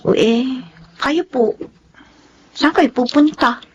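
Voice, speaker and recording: An actress playing a fussy, caring housemaid who is scolding but respectful, in a radio drama. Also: female voice, sits high, slow, muffled recording, slight background noise. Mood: gentle, concerned. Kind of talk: Tagalog radio drama acting.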